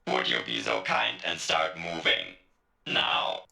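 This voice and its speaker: A man voicing an annoyed, sarcastically polite AI game character in a very deep, gravelly voice, on an echoey clip. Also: slow, sharp tone, heavily acted. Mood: annoyed, impatient.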